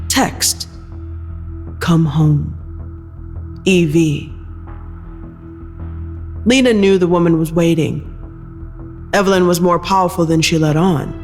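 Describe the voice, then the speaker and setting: A narrator reading scripted audio fiction in a measured storytelling style. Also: medium pitch, in a large hall.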